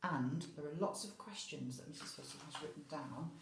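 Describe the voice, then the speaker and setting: A woman's voice: deep voice, normal speed. A woman teacher reading a picture book expressively to young children, addressing them directly with questions and asides. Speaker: infant school teacher reading a story. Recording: roomy, echoey indoor sound.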